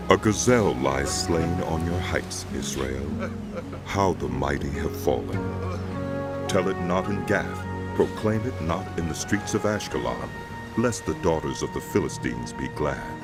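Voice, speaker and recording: A man narrating dramatized scripture in a solemn, measured way, phrase by phrase, over music. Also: sits low. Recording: loud music bed under voice.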